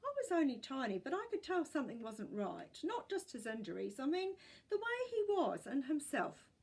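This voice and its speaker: A woman's voice: high-pitched, wildly swooping pitch, moderate pace, a little mellow, pitched above the performer's own voice, heavily acted. A woman voicing a kindly grandmother who remembers her childhood with tender sadness in a storybook. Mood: sad, reflective. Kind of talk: storybook character voice.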